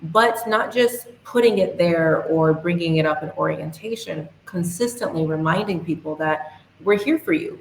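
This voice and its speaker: Low-voiced young woman, echoey room. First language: English. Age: young adult. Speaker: podcast guest. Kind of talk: interview answers. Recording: echoey room, faint hiss.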